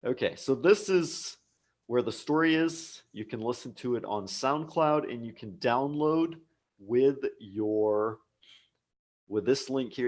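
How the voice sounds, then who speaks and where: A man's voice: mid-range voice, a little mellow. An American man teaching a live English lesson online, speaking patiently and repeating key words for learners. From United States. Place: home office abroad.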